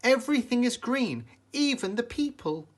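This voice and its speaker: A man's voice: very high voice, big pitch swings, normal speed, pitched above the performer's own voice, exaggerated voice. A man voicing a plucky, kind young farm girl in a storybook, earnest and wide-eyed. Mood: excited.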